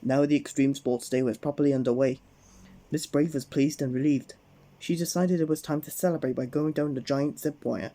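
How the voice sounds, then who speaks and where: A man reading a children's storybook aloud at bedtime, gently addressing the young listener. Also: a little high, fairly even intonation, fairly quick.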